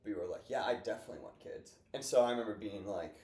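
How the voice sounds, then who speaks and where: A man's voice: on the low side, very fast, darkish tone. A young man in his twenties hosting a casual podcast chat, rambling and upbeat, full of fillers. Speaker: podcast host. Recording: echoey room with faint noise.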